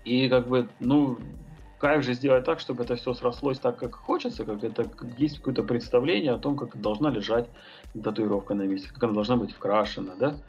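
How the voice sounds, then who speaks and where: A man's voice: medium pitch, a little fast, darkish tone, slightly rough, highs cut off, faint music behind. A man talking as a podcast guest, thinking aloud about his tattoo and painting work, rambling with many fillers and hesitations. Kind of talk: casual podcast conversation.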